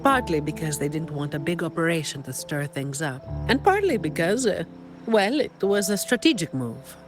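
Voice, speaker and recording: A woman's voice: low-pitched, expressive, moderate pace. A woman playing a composed, work-weary young female operative in a video game, speaking in a casual, dry, teasing way. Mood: matter-of-fact. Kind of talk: scripted game dialogue. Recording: loud music behind the voice. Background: music.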